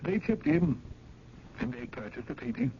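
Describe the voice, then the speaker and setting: A man's voice: medium pitch, big pitch swings, moderate pace, dark tone, natural voice. An actor playing a genteel, slyly kind museum committee elder in a 1970s radio drama, speaking formally and taking his roundabout time. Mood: measured, kindly. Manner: formal, leisurely, roundabout speech. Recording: muffled, noisy old radio broadcast.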